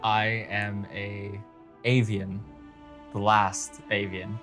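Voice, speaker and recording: A man playing a tabletop game, improvising a character description in a hesitant, halting delivery. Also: fairly low pitch, level tone, fairly bright tone, soft background music.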